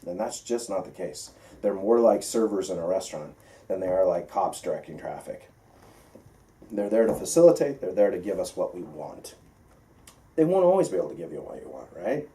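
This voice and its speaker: Mellow American man teaching, distant mic. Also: low-pitched, sing-song, brisk. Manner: conversational, emphatic, checks understanding with "right?". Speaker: flight instructor teaching pilots. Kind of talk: informal classroom lecture with questions.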